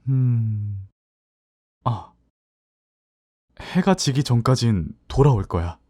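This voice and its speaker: A man acting in an audio drama as a hesitant, introspective newcomer, narrating in a soft, reflective way. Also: mid-range voice, expressive, slow pace.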